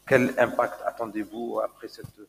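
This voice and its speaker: A low-voiced man chairing a thesis defense. He coaxes the candidate informally and encourages him to answer. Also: level tone, normal speed, creaky, a little hiss or hum, rough recording. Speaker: thesis jury member chairing. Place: university lecture hall.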